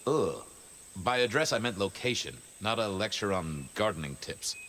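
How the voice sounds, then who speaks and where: An actor playing a sardonic, streetwise investigator in a video game, informal and easily exasperated. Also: male voice, on the low side, expressive, normal speed, a bit bright, a little hiss or hum.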